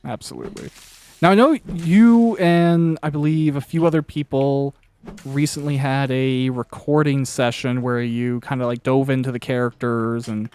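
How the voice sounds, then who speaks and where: A man's voice: mid-range voice, steady tone, normal speed, a little mellow, clean tone. A man hosting a casual interview, chatting in a rambling, anecdotal way and swearing freely. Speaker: podcast interviewer and author.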